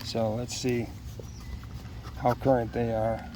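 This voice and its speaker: A man chatting casually through an unboxing, unscripted and halting as he thinks aloud. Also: medium pitch, little pitch movement, noisy background. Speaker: product unboxer.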